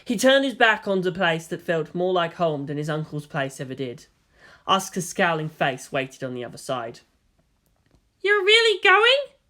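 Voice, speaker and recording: A man's voice: on the high side, expressive, moderate pace, fairly bright tone. A man reading fiction aloud as narrator, in a dramatic scripted style. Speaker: story narrator.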